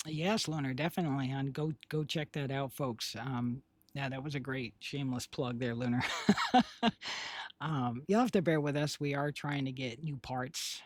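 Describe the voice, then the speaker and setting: A man with a raspy, husky voice, hoarse today, chatting casually on a live stream. He rambles along with lots of fillers and restarts, at a mid pitch. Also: moderate pace, no echo. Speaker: streamer and developer.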